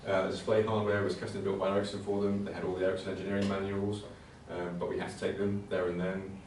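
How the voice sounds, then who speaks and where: Low, even-voiced man in a very echoey room. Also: fast talker. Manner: hesitant, conversational, repeats words. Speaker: presenter at a computing conservation talk. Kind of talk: informal technical presentation with slides. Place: indoor talk venue. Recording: very echoey room, distant mic, faint noise.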